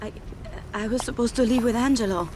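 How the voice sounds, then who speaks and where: A woman's voice: on the high side, brisk, very noisy, lightly acted. An actress playing a whiny, pleading, indignant gangster's girlfriend in a video game. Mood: flustered, defensive. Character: whiny, fashion-conscious abandoned girlfriend of a gangster. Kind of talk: video game cutscene acting.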